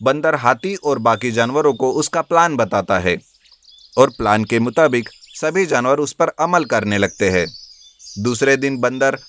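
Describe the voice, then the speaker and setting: A male storyteller narrates a scripted fable for children. His pitch is average for a man. The delivery is that of read-aloud storytelling. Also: lively intonation, a little slow, fairly bright tone.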